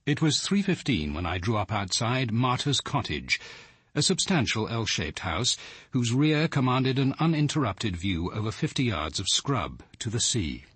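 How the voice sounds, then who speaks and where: An actor playing an observant, cultured detective narrating a radio drama in a measured, precise British voice with some huskiness. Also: male voice, mid-range voice, sing-song, fairly bright tone, husky, dry recording, exaggerated voice. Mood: reflective, composed. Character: observant, cultured male detective narrating his own story. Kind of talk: scripted first-person drama narration.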